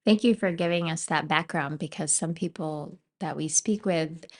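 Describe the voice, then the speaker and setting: A woman's voice: low voice, moderate pace. A woman hosting a podcast interview, asking questions and affirming her guest. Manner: encouraging, frequent affirming murmurs. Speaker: podcast host. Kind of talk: casual interview conversation.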